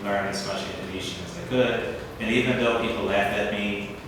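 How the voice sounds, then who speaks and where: A man's voice: lowish voice, steady tone, a little fast, far from the mic, noisy background. A man in a casual talk, asking rhetorical questions and leaning on "you know" a lot. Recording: echoey hall, picked up from afar.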